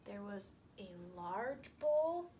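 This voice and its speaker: A woman's voice: mid-range voice, sing-song, very noisy. A woman telling a story to young children with puppets, repeating and emphasizing phrases as she explains. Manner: slow, repetitive, emphatic, explaining to small children.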